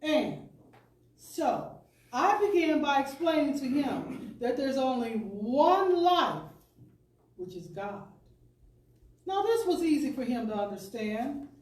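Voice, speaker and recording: Slow, high-voiced woman reading inspirational talk. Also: very animated, a little mellow. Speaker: speaker, professional storyteller. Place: spiritual center service, indoors. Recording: echoey room, distant pickup.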